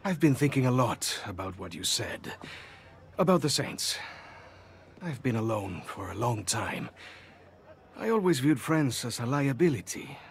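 An actor playing a lonely, envious man in a video game, confiding and deliberate with menace underneath. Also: medium pitch, very animated, vocal fry, slight background noise. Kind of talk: video game cutscene acting.

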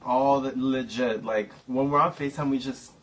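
A young man in his twenties, chatting excitably and dramatically on a home livestream. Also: mid-range voice, normal speed. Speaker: livestream co-host. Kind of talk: casual livestream chat. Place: living room at home.

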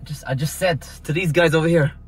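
A man, casually reviewing a gadget in a jokey, excitable way from inside a car. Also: a little high, fast talker, breathy edge. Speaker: tech reviewer. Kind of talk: casual product review vlog. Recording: noisy car cabin.

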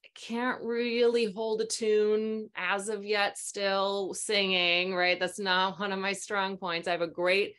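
A woman in her forties hosting a video call from a small room at home, telling stories in an animated, chatty way and acting out voices along the way. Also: medium pitch, slow pace, smooth. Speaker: host, sound healing practitioner. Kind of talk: casual storytelling on a video call. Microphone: video call webcam mic.

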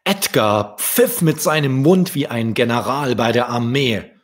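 Slow-reading husky man telling a children's story. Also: mid-range voice. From Germany. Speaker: children's author reading his own story. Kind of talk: scripted storytelling narration for children. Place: small wood-panelled room indoors. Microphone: desk microphone close in front.

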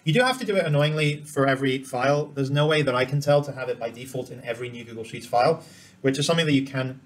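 Fast-talking man giving a software tutorial. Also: mid-range voice. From Cambodia. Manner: explains step by step while demonstrating. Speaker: spreadsheet tutorial presenter. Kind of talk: informal screen-share software tutorial.

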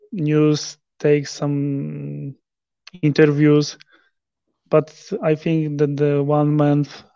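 A man in his thirties from Poland with a Polish accent, speaking halting English with many restarts in a video call interview. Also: fairly high pitch, clean tone, no echo, lo-fi sound. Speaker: interview guest, rower. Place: living room at home.

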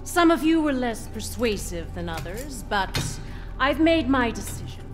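Bossy cold cult mother, game villain, high solemn voice, slow, big echoey hall, music. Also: very animated. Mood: imperious, final. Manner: solemn, imperious, measured pronouncements. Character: cold, commanding cult matriarch with absolute authority. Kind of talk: video game cutscene acting. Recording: long cavernous hall reverb.

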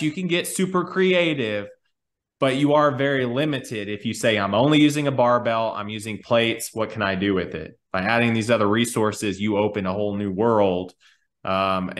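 A man's voice: on the low side, a bit bright. Man explaining things on a podcast. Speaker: podcast host, fitness coach. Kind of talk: conversational podcast discussion. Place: indoors, on a video call.

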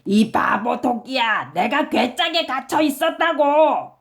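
A woman plays a sly storybook tiger with a very animated pitch. He is ungrateful and two-faced, wheedling politely or bullying, and speaks at an unhurried pace. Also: gravelly, full character voice. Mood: angry, impatient. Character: sly, ungrateful male tiger, pleading then menacing. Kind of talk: children's storybook character voice.

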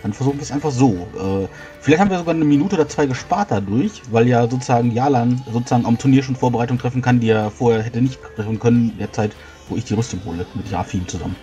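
Man, tabletop player, casual discussion, rapid speech, faint music behind. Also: mid-range voice, warm tone. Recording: music under the voice. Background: background music.

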